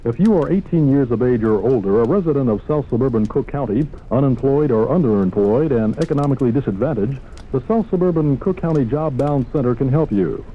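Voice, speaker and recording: A man's voice: mid-range voice, expressive, a little fast. A man reading a scripted 1970s public service announcement on the radio. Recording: tinny old radio, faint background noise.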